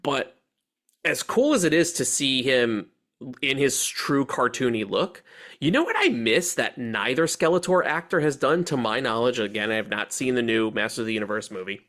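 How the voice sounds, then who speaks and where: A male podcast host with a medium-pitched voice in casual conversation. He gives his opinions in an animated way, with plenty of slang and loose swearing. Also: normal speed. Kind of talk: casual chat, film discussion.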